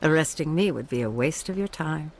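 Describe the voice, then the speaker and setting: An actor playing a smooth-talking, calculating criminal in a video game, with polished, formal speech. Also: male voice, on the high side, lively intonation, brisk. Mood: calculating, composed. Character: smooth-talking, calculating crime partner with a business proposition. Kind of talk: video game character dialogue.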